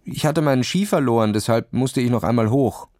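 A man's voice: medium pitch, a little fast, dark tone, dry recording, clean studio sound, lightly acted. A man voicing a reckless, boastful boy skier in an agitated, defiant manner for a children's story. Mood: cocky.

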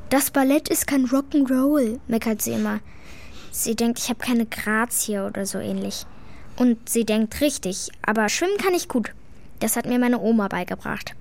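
A woman's voice: a little high, gravelly, darkish tone. A female performer playing a blunt, cheeky schoolgirl in a children's radio play, speaking in a colloquial, matter-of-fact way. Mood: defiant. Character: blunt, cheeky schoolgirl telling her story reluctantly.

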